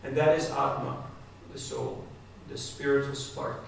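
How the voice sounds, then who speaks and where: Gravelly man lecturing in an echoey hall. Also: mid-range voice, wildly swooping pitch, a little slow. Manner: conversational, addresses listeners directly with questions. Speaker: spiritual speaker. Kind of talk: devotional talk to a live audience. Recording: echoey hall, distant mic, faint noise.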